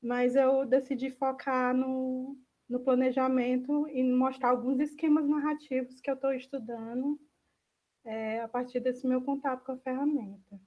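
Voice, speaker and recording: A woman's voice: sits high, flat delivery, a little slow, muffled recording. A woman with a Brazilian accent giving an informal online talk in a tentative, self-correcting way. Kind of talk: informal presentation. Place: indoors on an online video call.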